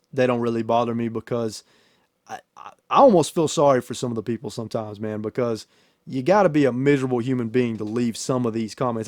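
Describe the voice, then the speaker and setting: A man's voice: mid-range voice, no echo, clean studio sound. A man hosting a podcast in a casual, profane, storytelling chat style. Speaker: podcast host and YouTuber.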